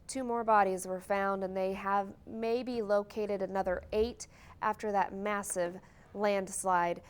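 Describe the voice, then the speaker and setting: A woman's voice: mid-range voice, steady tone, measured pace, clean tone. An American woman anchoring a morning newscast, reading scripted news in a TV studio.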